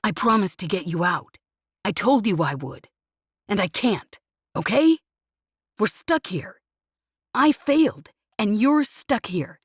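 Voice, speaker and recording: A woman reads a worn-out, guilt-ridden young man in an audiobook. He speaks flat and dull until he breaks into anger, in a slow, measured delivery recorded dry and close. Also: sits low, husky, no echo, exaggerated voice. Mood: furious. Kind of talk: audiobook character reading.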